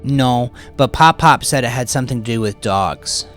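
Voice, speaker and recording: Man voicing an eager, pleading little girl, pitched up. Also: normal speed, a bit bright, soft background music, natural voice.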